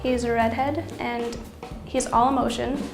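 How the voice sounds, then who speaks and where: Gravelly-voiced nineteen-year-old woman. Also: fairly high pitch, little pitch movement, a little slow, reverberant, music in the background. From Maine, USA. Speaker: student interviewee. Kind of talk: casual interview answers.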